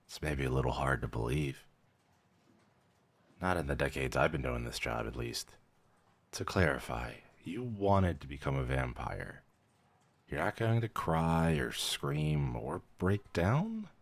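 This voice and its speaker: Casting: male actor as weary vampire clerk-medic for ASMR audio drama, extremely low voice, measured, dry, professional edging into casual. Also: vocal fry, heavily acted. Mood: baffled, hesitant. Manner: measured, clinical, dryly matter-of-fact, hesitant when surprised. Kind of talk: scripted ASMR roleplay.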